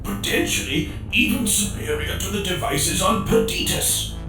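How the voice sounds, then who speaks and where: High, quavering, terrified artisan. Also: male voice, sing-song, normal speed, roomy sound, recorded from a distance, music in the background. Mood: frightened, defensive. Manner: breathless, stammering, gasping. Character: terrified, pleading male artisan, threatened at blade-point. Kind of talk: dramatic audiobook character voice.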